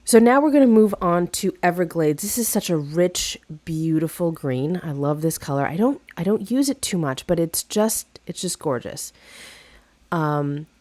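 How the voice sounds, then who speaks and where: A woman narrating a casual craft tutorial, chatty and friendly with fillers and restarts, slightly hoarse from a cold. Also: on the low side, expressive, normal speed, warm tone, no room sound. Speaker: craft video host.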